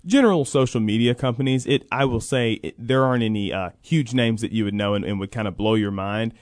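A man's voice: mid-range voice, a little fast, darkish tone, dry recording, studio quality. A man speaking as an interview guest on a radio talk show, explaining things at length in careful, qualified points. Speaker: interview guest, college athletics program coordinator.

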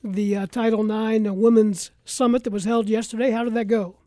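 A man's voice: high voice, little pitch movement, moderate pace, dark tone, no echo, studio quality. An American man hosting a live sports talk radio show.

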